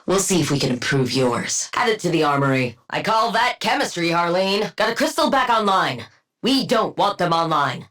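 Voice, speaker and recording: An actress playing a brilliant, haughty scientist supervillain in a video game, speaking in precise terms with a dry, deadpan, sardonic edge. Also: female voice, mid-range voice, reverberant. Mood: focused.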